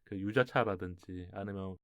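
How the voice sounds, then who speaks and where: A man's voice: low-pitched, normal speed, a bit bright, clear, resonant tone, no room sound, clean studio sound. A man hosting a radio show in a conversational style, talking to listeners and a guest.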